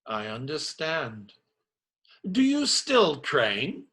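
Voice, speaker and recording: Man acting bright, lively, courteous friar. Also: a little high, drawn-out words, heavily acted. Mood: gentle. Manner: polite, formal, attentive. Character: courteous, sympathetic friar, gently probing and flattering. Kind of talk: radio play acting.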